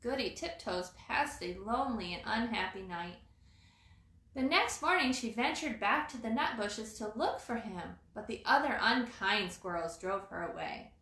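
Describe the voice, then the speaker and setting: A woman reading a children's storybook aloud as storyteller, in an expressive, dramatizing manner. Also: on the high side, normal speed. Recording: roomy echo.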